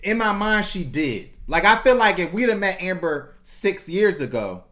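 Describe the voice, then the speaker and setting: A man's voice: sits high, very animated, moderate pace, fairly bright tone. A man co-hosting a reality show review in casual chat, easygoing, thinking aloud with restarts and fillers.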